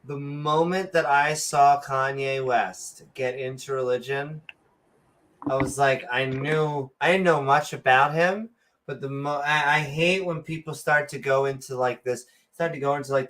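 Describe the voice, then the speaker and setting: A woman co-hosting a comedic chat podcast with an unhurried, drawn-out delivery. She is sarcastic and animated, veering into quick asides and mock outrage. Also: very deep voice. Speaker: podcast co-host.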